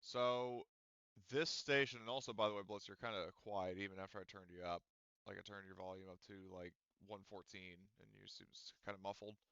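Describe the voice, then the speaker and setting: A man's voice: on the low side, fairly even intonation, fairly quick, vocal fry, fairly bright tone, clear, resonant tone, dry recording, muffled recording. A man acting as game master in a casual online tabletop game, rambling with lots of filler words. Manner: rambling, lots of ums and likes. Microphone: headset or desk mic on voice chat.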